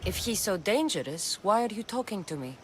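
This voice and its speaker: A woman's voice: medium pitch, very animated, brisk, a little hiss or hum, natural voice. An actress playing a bold, blunt female mercenary in a video game, with a direct, dry manner. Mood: blunt, probing.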